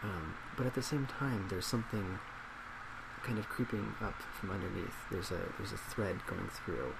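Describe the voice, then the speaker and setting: Low, very creaky young man, ASMR. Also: moderate pace. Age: 20s. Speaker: psychologist in an ASMR role play. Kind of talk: soft-spoken ASMR role play, reading responses and reflecting. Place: small indoor office at a desk. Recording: steady loud laptop fan hiss.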